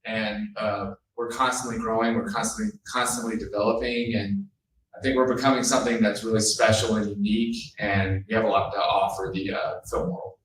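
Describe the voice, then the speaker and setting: A man's voice: on the low side, flat delivery, fairly quick, fairly bright tone. A man from San Antonio, speaking earnestly as a filmmaker on a panel. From San Antonio, Texas. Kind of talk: panel discussion. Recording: echoey room, distant mic, faint noise.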